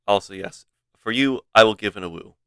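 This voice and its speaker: A game streamer, a man with a low but bright, forward voice. He rambles playfully in casual livestream chat, close and dry on the mic. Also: fairly quick, vocal fry, clean tone, dry recording. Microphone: close streaming microphone. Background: faint game music.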